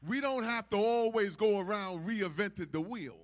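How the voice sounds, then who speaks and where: A man's voice: high-pitched, normal speed. A man preaching a sermon in an emphatic, repetitive preaching cadence. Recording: long hall reverb.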